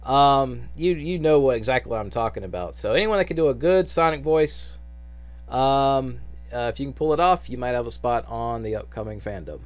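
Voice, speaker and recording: A man's voice: mid-range voice, lively intonation, normal speed. A man giving an unscripted, casual audition announcement for a fan dub, speaking in a hesitant, rambling way with frequent restarts.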